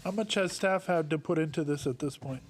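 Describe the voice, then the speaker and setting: A man's voice: on the high side, brisk. A man on a town board making motions and asking direct, practical questions. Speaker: board member. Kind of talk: meeting discussion.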